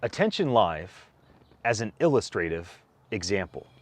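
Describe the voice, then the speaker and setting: A man reading a technical document aloud, phrasing deliberately and stressing each item as he goes. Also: on the low side, very animated. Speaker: co-author reading a whitepaper aloud. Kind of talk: read-aloud document narration.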